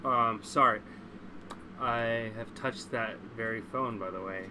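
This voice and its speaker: Male livestream host, average pitch, congested, casual and sweary with strong opinions; noticeably noisy recording. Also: slow pace. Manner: blunt, profane, opinionated.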